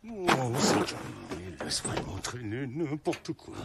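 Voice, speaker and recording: An actor in an animated film dub playing a middle-aged, frustrated former superhero and family man, grumbly and sometimes evasive. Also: medium pitch, takes it slowly, loud music behind the voice. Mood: distracted.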